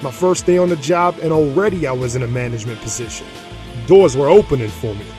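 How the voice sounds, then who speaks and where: A man acts out a brawny middle-aged enforcer from a crime audio drama. His mid-range voice is streetwise and folksy, with a cocky, menacing swagger. Music plays softly underneath. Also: mid-range voice, expressive, quick pace, natural voice. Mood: proud.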